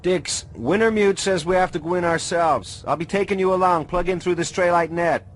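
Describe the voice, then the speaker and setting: A man's voice: sits high, moderate pace, soft background music, lightly acted. A man playing a terse, edgy young hacker in a radio drama. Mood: tense, businesslike.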